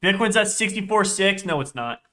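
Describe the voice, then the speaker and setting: A man's voice: high-pitched, expressive, moderate pace, bright, forceful tone. A young man with a congested, stuffy voice, chatting casually on a live trading stream, rambling and correcting himself as he goes. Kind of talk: live-stream trading chat, reading chat aloud.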